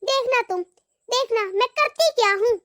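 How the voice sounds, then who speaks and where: A woman voicing a defiant, scornful middle-aged mother who scolds emphatically in an animated family drama. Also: extremely high pitch, normal speed, dry recording, studio quality. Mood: scheming, reassuring. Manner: scolding, emphatic, rhetorical questioning.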